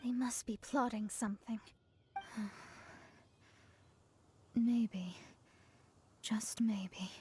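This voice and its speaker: A woman's voice: on the high side, steady tone, drawn-out words, pauses often, a little mellow, slight background noise. A woman playing a proud, self-assured young astrologer in a video game, confident and a little haughty. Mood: proud, thoughtful. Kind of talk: video game cutscene acting. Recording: music behind the voice.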